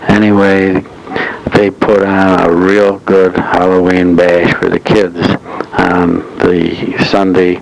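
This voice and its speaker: An elderly man recounts local club history in measured, halting phrases, as in a slow oral history. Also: low-pitched, completely flat delivery, gravelly. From United States, upstate New York. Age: 70s or older. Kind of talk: slow oral history reminiscence, partly as if from notes. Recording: noisy old reel-to-reel tape transfer.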